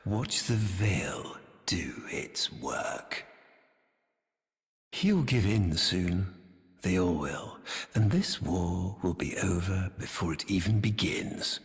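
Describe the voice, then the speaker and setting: An actor playing a bitter, scheming aristocratic villain in a video game, speaking in poetic, grandiose phrases with dramatic pauses and a breathy tone that often drops toward a whisper. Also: male voice, deep voice. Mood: silky menace. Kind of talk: theatrical scripted video game dialogue. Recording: echoey, long hall-like reverb.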